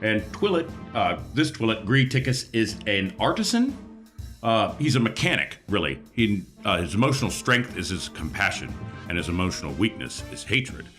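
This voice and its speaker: A man's voice: fairly low pitch, very animated, moderate pace, a bit bright, faint music behind. A man chatting casually as a player at a tabletop role-playing game, describing his character and what it does.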